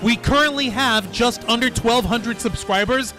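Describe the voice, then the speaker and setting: High, bright-voiced male stream host. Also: moderate pace. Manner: irreverent, profane. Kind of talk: casual joking panel chat. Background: music.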